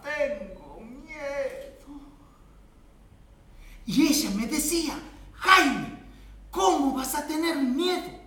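A man's voice: very high-pitched, a bit bright, full character voice. A man playing a humble, pleading farmhand and father in a theatrical monologue, with a Latin American Spanish accent. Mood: childlike reminiscing. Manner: emotive, drawn-out delivery with repeated pleas. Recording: very echoey room, distant microphone.

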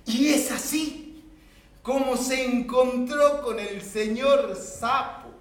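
A man's voice: extremely high pitch, steady tone. A man playing a humble, pleading farmhand and father in a theatrical monologue, with a Latin American Spanish accent. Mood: storytelling. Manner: emotive, drawn-out delivery with repeated pleas. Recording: very echoey room, distant microphone.

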